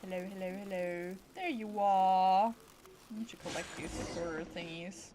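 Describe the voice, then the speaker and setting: A woman's voice: medium pitch, normal speed, mellow, slight background noise. A woman streaming a game with casual commentary, playfully dropping short reactions. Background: game music.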